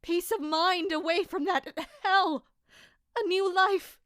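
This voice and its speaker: A woman's voice: very high voice, fairly even intonation, slow pace, fairly bright tone, dry recording, clean studio sound, exaggerated voice. A woman playing an anguished, dutiful and bitter royal captain in an audio drama, brooding and emotionally intense.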